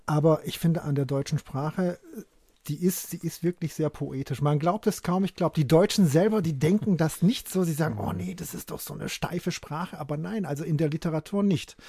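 A man's voice: fairly high pitch, brisk, dark tone, no echo. An author from Germany with Turkish as his mother tongue, a man talking emphatically as a guest in a dry studio-like interview. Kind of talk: conversational interview answers.

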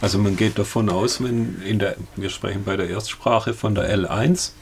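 Guy expert, low gravelly voice, matter of fact, restarts a bit. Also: fairly even intonation, normal speed, slight background noise. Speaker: expert guest. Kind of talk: explanatory discussion.

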